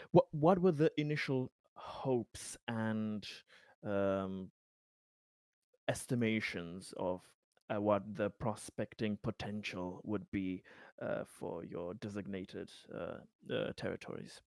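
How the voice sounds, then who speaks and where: Male tabletop player, slow pace, hesitant, stammering, wordy questioning, dry close sound. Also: on the low side, darkish tone, smooth, no echo. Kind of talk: improvised in-character questioning.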